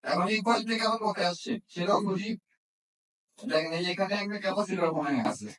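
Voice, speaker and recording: A man's voice: high voice, fast, fairly bright tone. A man teaching a hands-on repair class, often checking understanding with questions. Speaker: phone repair instructor. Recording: echoey room, distant microphone.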